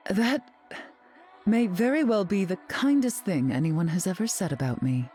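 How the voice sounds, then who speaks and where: A woman's voice: mid-range voice, animated, normal speed, mellow, soft background music, natural voice. A woman playing a haughty, glamorous, sardonic society queen in a video game, imperious and arch in manner. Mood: imperious, cool. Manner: imperious, arch, drawling endearments. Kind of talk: video game character dialogue.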